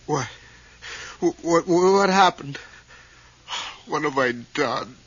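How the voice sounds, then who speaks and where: An actor playing a haunted, fearful possessed man, halting and hushed, in a radio horror drama. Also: a little high, animated, slightly rough, exaggerated voice. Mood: dazed, horrified. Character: Bob, haunted frightened man possessed by a spirit. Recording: dull old radio recording.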